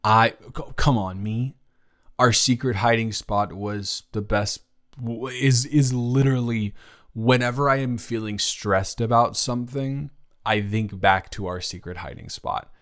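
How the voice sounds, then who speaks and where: A young man playing a flustered, tender college student talking intimately to a childhood crush in an improvised audio roleplay, stammering and halting. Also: medium pitch, steady tone, a bit bright, close to an everyday voice. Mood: nostalgic, tender.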